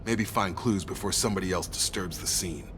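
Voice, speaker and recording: An actor playing a loyal, no-nonsense soldier in a video game, steady and straightforward. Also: male voice, a little fast, lightly acted. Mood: steady, earnest. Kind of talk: dramatic video game dialogue. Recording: loud vehicle rumble behind voice.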